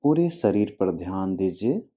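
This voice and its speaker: A man guiding a relaxation meditation as a yoga instructor, giving short instructions with long pauses, in a dull recording. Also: low voice, very animated, mellow.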